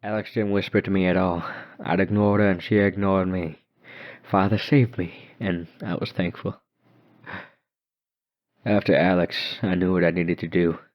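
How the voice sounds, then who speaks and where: A man narrating a horror story dramatically in the first person. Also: deep voice. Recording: dull muffled lo-fi recording.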